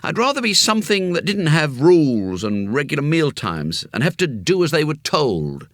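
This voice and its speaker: A man voicing an eager, excitable young boy in a children's story. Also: a little high, normal speed, no room sound, studio quality, voice pushed up.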